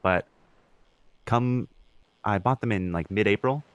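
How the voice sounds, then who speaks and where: A young man with a notably low voice talks casually as a podcast co-host. His speech is slangy and mildly profane, and the sound is dry, with very little room on it. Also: a little slow, no room sound. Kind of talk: casual chat.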